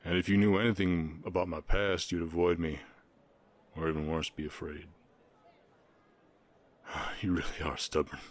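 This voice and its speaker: A man playing a gentle, reserved orc ranch hand with a Southern US accent, speaking haltingly and earnestly in an intimate Western romance roleplay. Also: sits low, flat delivery, close to an everyday voice. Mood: tender, melancholy.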